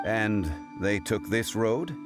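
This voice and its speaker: Deep-voiced erudite man, courteous, measured, formal, lively intonation, game dialogue, faint music. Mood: calm. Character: composed, erudite gentleman consultant, grave and courteous. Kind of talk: video game character acting.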